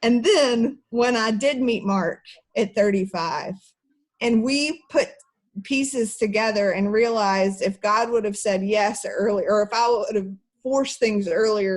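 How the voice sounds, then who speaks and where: A woman, teaching an online church class through earnest personal stories. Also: mid-range voice. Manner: earnest, personal storytelling with soft laughs.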